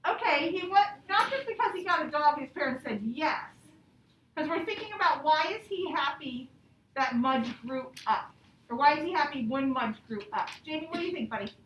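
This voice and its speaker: Female primary teacher, high register, instructional repetitive phrasing, reverberant classroom sound with faint noise. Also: expressive, moderate pace, fairly bright tone. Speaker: elementary school teacher. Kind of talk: classroom lesson with read-aloud and questions to children. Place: indoor classroom. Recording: echoey classroom, distant mic, background noise.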